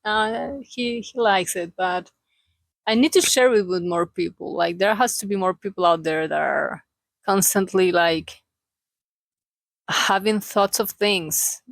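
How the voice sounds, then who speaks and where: A female vlogger from Chile talks off the cuff in Spanish-accented English with a Chilean flavor. Her medium-pitched voice has a gravelly fry to it and is captured dry, with no room sound. She feels her way through sentences, hunting for words and gently poking fun at herself. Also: a little slow, no echo. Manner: hesitant, searching for words, self-deprecating, jokey asides. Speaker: vlogger, aspiring cartoonist and illustrator. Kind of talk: casual unscripted confessional chat.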